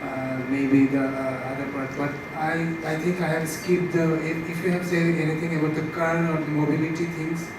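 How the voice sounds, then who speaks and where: Male audience questioner, Bangladeshi accent, slightly high monotone, distant and noisy pickup. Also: moderate pace, hardly any pauses. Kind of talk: polite spontaneous question at a conference. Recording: very echoey, distant hall mic, noisy.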